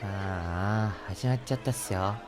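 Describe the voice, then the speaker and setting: A man's voice: low voice, normal speed, over music, natural voice. An actor playing a cheeky, teasing junior crewman in an audio drama, breezy and slangy. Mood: amused. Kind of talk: Japanese audio drama acting.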